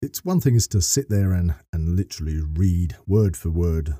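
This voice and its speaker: A middle-aged man with a very low, dark and slightly husky voice talks on a podcast. He explains things earnestly and at length, often rephrasing as he goes. The sound is close and dry. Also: animated, normal speed, no room sound. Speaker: podcast guest, voice actor and narrator.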